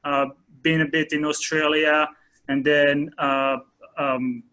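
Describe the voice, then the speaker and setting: A man in his thirties from France, a soccer coach answering interview questions in a hesitant way with many pauses and fillers. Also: fairly high pitch, level tone, pushed and bright, smooth, low-quality recording.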